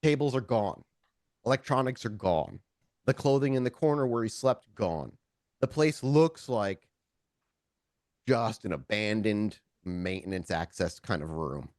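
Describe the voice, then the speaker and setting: A man's voice: fairly low pitch, expressive, measured pace, a little mellow, no echo, studio quality. A man narrating a tabletop roleplaying game as game master, conversational and informal, with descriptive storytelling.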